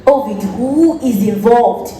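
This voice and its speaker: A woman's voice: on the high side, slow, mellow. A young woman acting an imperious, jealous noble lady in a stage play. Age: young adult. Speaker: student stage actor. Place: theatre stage, recorded from afar. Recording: distant, echoey theatre with noise.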